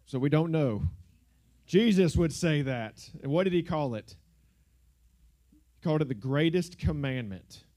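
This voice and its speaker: A young man with a drawl preaches to a congregation in a loose, joking way, filling his talk with "like" and "y'all." His voice is mellow and warm, carried through a handheld microphone in a church. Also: on the high side. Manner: joking, conversational, uses filler words like "like" and "y'all". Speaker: guest preacher. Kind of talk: casual sermon. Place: church sanctuary.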